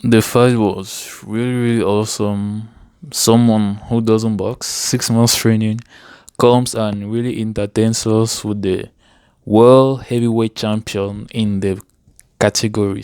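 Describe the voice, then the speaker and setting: This is a man with a notably smooth, clear voice that sits a little low. He speaks as a guest in a casual sports discussion. The sound is dry and close, with hardly any room in it. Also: darkish tone, no room sound. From Cameroon. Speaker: interview guest, sports fan.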